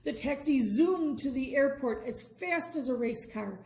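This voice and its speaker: A woman reading a children's bedtime story aloud in an expressive, deliberate storytelling manner. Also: high-pitched.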